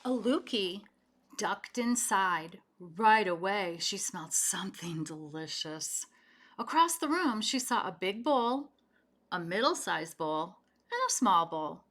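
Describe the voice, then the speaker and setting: Expressive, unhurried woman reading a storybook aloud. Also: medium pitch. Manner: addresses young listeners with explanations and questions. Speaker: storyteller reading a picture book aloud to children. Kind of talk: read-aloud storytelling with chatty asides.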